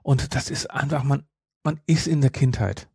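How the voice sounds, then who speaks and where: Man, middle-aged, dark soft timbre, husky, opinionated, casual podcast review chat. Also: mid-range voice, steady tone, normal speed, dry recording, studio quality. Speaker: podcast co-host.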